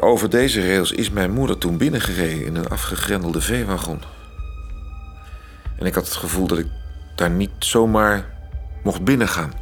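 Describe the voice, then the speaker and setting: An actor playing a cultured, brooding, articulate man in a radio play, with weighted, halting phrasing. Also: low-pitched.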